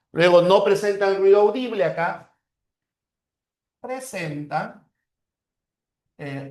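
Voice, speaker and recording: A man with a Peruvian accent lecturing a class, repeating key terms and checking in with short tag questions. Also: fairly high pitch. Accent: Peruvian Spanish. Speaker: teacher. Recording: roomy echo.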